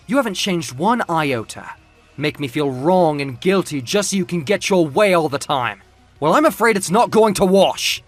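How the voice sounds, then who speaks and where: A man's voice: on the high side, voice pushed up, lightly acted. A man playing a defiant, cynical male muse in an audio comic drama, wounded and emphatic.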